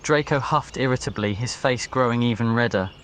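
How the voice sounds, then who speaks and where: A man's voice: medium pitch, a little fast, fairly bright tone, a little hiss or hum. A man reading a scripted ASMR story as its narrator. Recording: nature ambience behind voice. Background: crickets, birds and insects.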